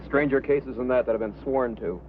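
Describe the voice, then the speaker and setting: A man's voice: mid-range voice, a little fast, lightly acted. An actor playing a brash, challenging man in a vintage American film drama. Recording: tinny old film soundtrack, faint noise.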